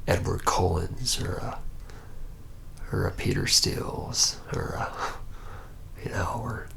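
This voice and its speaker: A reluctant young male vampire, acted by a man, speaks in a hushed voice thick with gravelly fry. He is slow and halting, pausing often. His weary, apologetic manner suits an intimate ASMR roleplay. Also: fairly low pitch, full character voice. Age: young adult. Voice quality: breathy, gravelly whisper. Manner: hesitant, halting, apologetic, full of pauses.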